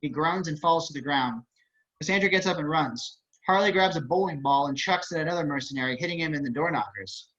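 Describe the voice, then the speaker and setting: A man's voice: on the high side, quick pace, a bit bright. A man narrating stage directions at an online table read, with wry, casual asides. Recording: dull video-call audio.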